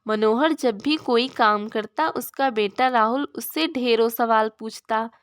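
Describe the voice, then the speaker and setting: A woman with a clearly high voice reads a scripted children's tale. She is the storyteller, presenting a moral story as narration. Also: measured pace.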